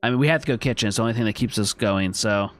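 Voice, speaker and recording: A man's voice: on the low side, very fast, fairly bright tone, soft background music. A man streaming a video game, thinking aloud, chatting with his viewers and reading on-screen text as he plays. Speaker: gaming streamer. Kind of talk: casual let's-play commentary.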